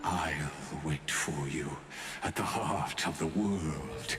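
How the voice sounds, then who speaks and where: An echoing, cavernous-low voice plays an ancient, grandiose game scholar. He delivers solemn, portentous monologues with deliberate patience. Loud music swells behind him. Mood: commanding, grave. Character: ancient imprisoned male scholar, grandiose, ominous, patient philosopher. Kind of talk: theatrical scripted game monologue.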